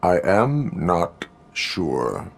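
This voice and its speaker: A man playing a stoic cyborg police officer in a video game, speaking formally in stiff, deadpan, clipped phrases. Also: deep voice, moderate pace. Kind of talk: video game cutscene acting.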